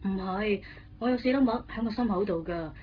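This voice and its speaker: A woman's voice: mid-range voice, fairly quick, faint music behind, lightly acted. A woman acting a playful, enigmatic young girlfriend in a Hong Kong Cantonese radio drama, casual and matter-of-fact. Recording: muffled radio drama, faint background noise.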